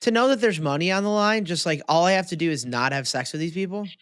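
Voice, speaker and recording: A man's voice: sits high, fast, a bit bright, smooth, no room sound, studio quality. An American man from the Midwest chatting casually as a podcast co-host, often questioning and self-deprecating. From Midwestern United States. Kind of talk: casual chat.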